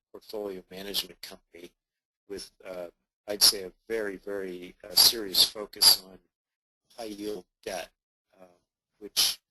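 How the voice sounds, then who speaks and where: A man giving unscripted business remarks as a portfolio manager, measured and pausing between short phrases. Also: fairly low pitch. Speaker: portfolio manager speaking as guest co-presenter. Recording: very noisy conference line with clicks.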